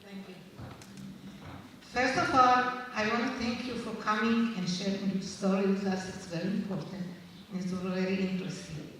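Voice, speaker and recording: A woman, an audience member asking a question in a thoughtful, halting way. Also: medium pitch, steady tone, fairly bright tone. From Israel. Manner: thoughtful, searching for words, halting. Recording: echoey hall from a distance, faint noise.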